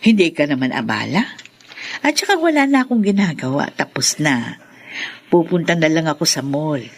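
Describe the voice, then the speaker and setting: A woman's voice: low-pitched, big pitch swings, normal speed, exaggerated voice. A woman acting a hospitable, chatty and obliging friend in a Tagalog radio drama. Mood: welcoming, eager.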